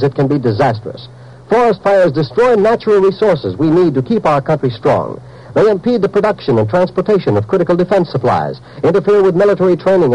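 High-pitched, animated male radio announcer. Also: low-quality recording. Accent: American. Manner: earnest, emphatic, formal. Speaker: public service announcer. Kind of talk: scripted 1950s radio public service message.

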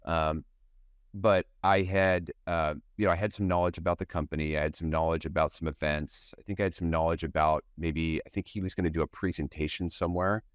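A man's voice: sits low, steady tone, a little fast, dry recording, studio quality. A middle-aged man, a guest on an interview show, recalling his sales career in a thoughtful, storytelling way, often saying "you know" as he goes. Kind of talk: reflective interview answers.

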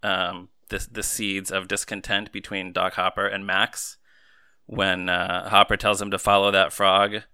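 A man's voice: deep voice, level tone, measured pace, pushed and bright, clean tone. A man in his mid-thirties hosting a casual movie podcast. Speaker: podcast host.

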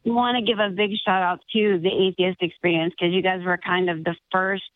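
An earnest woman calls in and talks about what matters to her, warm thanks pouring out. Her voice comes through a thin, tinny phone line. The sound is dry, with no room around it. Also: lowish voice, steady tone, fairly quick, no echo. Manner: emotional, earnest, effusive thanks. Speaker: caller. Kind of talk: call-in conversation.

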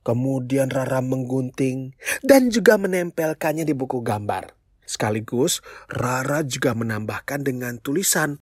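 A storyteller telling a children's tale in an expressive, playful way, speaking straight to young listeners with exclamations and questions. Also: medium pitch, slightly rough, no echo, clean studio sound. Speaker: children's storyteller, show host.

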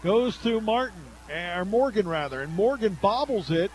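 A man with an American accent and a noticeably high-pitched voice calls a football game for radio. He works as the play-by-play announcer, following the action live from the game. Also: expressive, normal speed, a little mellow, poor audio.

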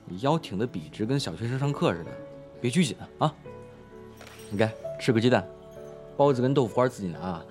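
A young man acting a breezy, teasing high school student in a modern audio drama, talking casually and playfully. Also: lively intonation, a little fast, pauses often, music in the background, natural voice. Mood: chatty.

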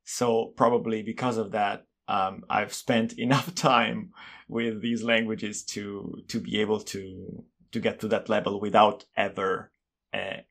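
A man with an Italian accent, a native Italian speaker, answering interview questions in a calm, thoughtful way as he searches for words. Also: fairly low pitch, unhurried, creaky. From Italy. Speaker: guest, polyglot and language consultant. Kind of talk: casual interview conversation.

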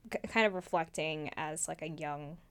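A woman's voice: low-pitched, normal speed, fairly bright tone, smooth. A woman in her twenties from the Philippines with an American accent, chatting as an interview guest with many fillers and frequent laughs. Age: 20s. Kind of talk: casual conversational interview.

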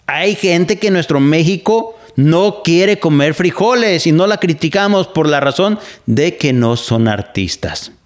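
A man's voice: fairly high pitch, animated, measured pace, hardly any pauses. A Mexican man from Mexico hosting a podcast, talking through his opinions in a loose, rambling way with many fillers and restarts. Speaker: podcast host. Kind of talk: casual opinion monologue. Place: living in the United States.

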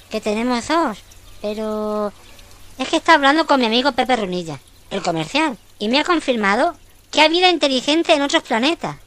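A woman's voice: on the high side, fairly bright tone, a little hiss or hum. A woman playing a naive, good-natured family man in a comic radio play, with an accent from Spain and an exclamatory delivery. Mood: grateful, coaxing. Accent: Spanish (Spain).

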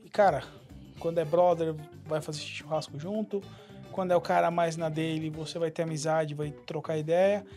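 A man from Brazil co-hosting a casual podcast, talking in a relaxed, agreeable way. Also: fairly high pitch, normal speed, soft background music. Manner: relaxed, agreeable, leans on "cara" and "né". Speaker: podcast co-host. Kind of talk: casual chat.

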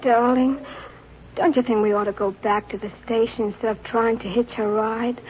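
An actress playing a devoted young sweetheart, emotional and tender, in 1940s radio drama style. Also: female voice, fairly high pitch, fairly even intonation, normal speed, close to an everyday voice. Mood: gentle. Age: young adult. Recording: muffled, clearly noisy old radio recording.

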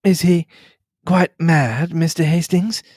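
A man reading the lines of a reserved young English wife, speaking quietly and in a measured way. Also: a little high, husky, dry recording, studio quality, pitched above the performer's own voice. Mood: thoughtful.

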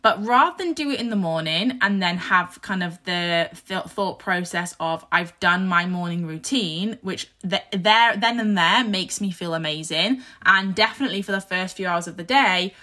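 A woman in her thirties hosts her own podcast while nursing a sore throat, so her voice comes out croaky and husky. Her tone stays bright and forward. She talks in an earnest, reflective, motivational way. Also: fairly low pitch, normal speed, few pauses. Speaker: podcast host. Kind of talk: casual solo podcast talk.

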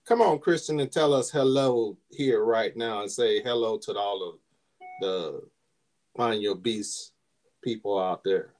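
A man's voice: fairly low pitch, measured pace, a little mellow. A man hosting his own podcast in an upbeat, hype style with casual slang. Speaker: podcast host.

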